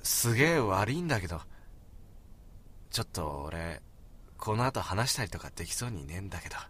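Bright-voiced, brooding high school boy. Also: big pitch swings, a little fast, slight background noise. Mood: dejected, awkward. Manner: blunt, casual male slang, brooding. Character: frustrated, proud, rough-spoken high school boy. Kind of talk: Japanese voice drama acting.

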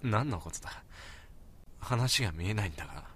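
A man playing a proud, frustrated high school boy in a voice drama, talking in blunt, casual slang. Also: animated, normal speed, fairly bright tone, husky. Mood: dejected, awkward. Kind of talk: Japanese voice drama acting.

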